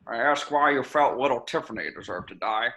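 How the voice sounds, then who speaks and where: A man's voice: mid-range voice, animated, a little fast, pushed and bright. A man reading the part of a stern, dry, official agency chief in an amateur script read. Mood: exasperated.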